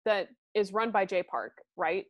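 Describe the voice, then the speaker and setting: A woman's voice: mid-range voice, unhurried. A woman co-hosting a podcast, chatting casually and rambling with fillers and laughs. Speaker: podcast co-host. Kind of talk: casual chat.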